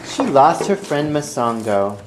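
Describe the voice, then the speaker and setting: A woman's voice: extremely low pitch, big pitch swings, a little slow, background noise. A woman narrating an improvised fairy tale for a children's puppet show, in simple, repetitive phrases.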